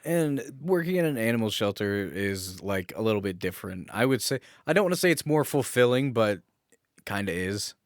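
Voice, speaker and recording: A man's voice: lowish voice, sing-song, normal speed, dry recording, clean studio sound. A man co-hosting a podcast, chatting casually in a wry, informal way with casual swearing. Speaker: podcast co-host. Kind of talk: casual chat.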